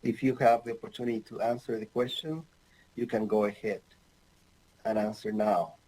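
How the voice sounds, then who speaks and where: Mellow-voiced man from Ecuador lecturing. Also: on the low side, steady tone, slightly rough, rough recording. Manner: halting, many fillers, light humor. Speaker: anesthesiologist presenter. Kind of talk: recorded online medical lecture. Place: home office with bookshelves.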